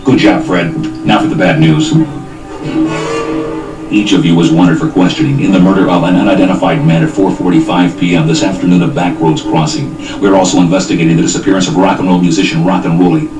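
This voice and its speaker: An actor playing a stern senior police detective, clipped, officious and deadpan, in a parody of old police radio drama. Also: male voice, deep voice, fast, distant mic, lightly acted. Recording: roomy cassette copy with loud music.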